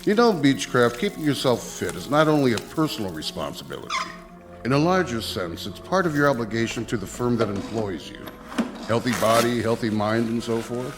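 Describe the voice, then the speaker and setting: A man's voice: medium pitch, big pitch swings, brisk, music in the background, natural voice. An actor playing a hearty, condescending office boss in a radio drama, pompous and preachy as he lectures. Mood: condescending, probing. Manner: pompous, preachy, slyly probing.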